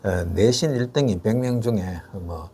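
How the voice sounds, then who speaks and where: An older man, explaining education matters in a measured interview style with frequent fillers and rhetorical questions. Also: lowish voice, dark tone, slight background noise. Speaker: education expert guest.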